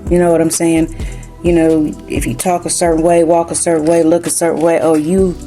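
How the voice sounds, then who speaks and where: A woman's voice: deep voice, level tone, brisk, mellow, faint music behind. A woman from New Jersey, raised in the South, with a slight Southern country accent, chatting casually through a spiritual card reading and often asking "you know what I'm saying?". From New Jersey, raised in South Carolina. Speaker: tarot card reader.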